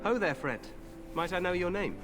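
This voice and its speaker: Brief: a hearty young king, lively and regal, higher-pitched, game music audible behind. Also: moderate pace. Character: cheerful, overconfident young human king.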